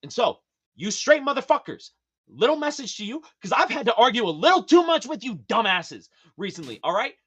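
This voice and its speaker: A man with a high, slightly feminine voice, husky and a little breathy, delivers an emphatic, profane rant. His pitch swings widely, jumping up in sudden outbursts and dropping low for serious points. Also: big pitch swings, fairly quick, fairly bright tone. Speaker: podcast guest. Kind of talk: casual podcast rant.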